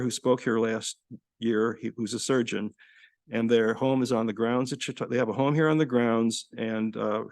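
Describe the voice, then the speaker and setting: A man introducing a guest speaker at a live lecture, halting with many fillers and restarts. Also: mid-range voice, gravelly, no echo. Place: lecture hall with live audience. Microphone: podium microphone.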